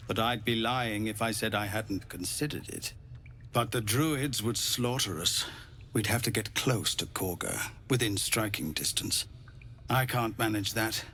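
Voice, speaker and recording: A man playing a grave refugee leader in a fantasy game, talking earnestly and deliberately. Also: on the low side, bright, forceful tone, slight background noise. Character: grave refugee leader weighing hard choices. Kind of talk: dramatic fantasy game dialogue.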